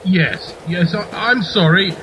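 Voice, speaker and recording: A man's voice: high voice, slow, lo-fi sound, a little hiss or hum. A man playing a polite, flustered British naval captain and affectionate husband in an amateur radio play. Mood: flustered, apologetic.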